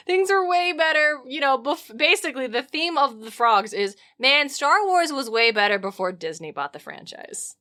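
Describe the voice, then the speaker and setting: A woman hosting a podcast in casual, comedic chat, animated and rambling with slangy asides. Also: high voice, unhurried. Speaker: podcast host.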